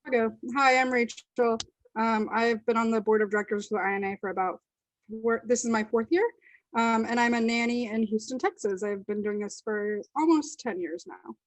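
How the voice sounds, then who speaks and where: A woman who works as a nanny and sits on a board, introducing herself briskly on a video call. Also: a little high, no room sound, poor audio.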